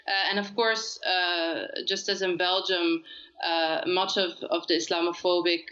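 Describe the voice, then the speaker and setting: A woman researcher speaking on a panel over a video call, earnest and halting with many fillers. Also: on the low side, flat delivery, sharp tone. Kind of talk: remote panel discussion. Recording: dull, lo-fi audio.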